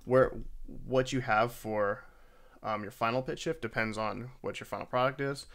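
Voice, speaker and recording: A man narrating a software tutorial in a casual, halting, self-deprecating way. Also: mid-range voice, fairly even intonation, creaky. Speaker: tutorial host. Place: voice-over for a screen recording.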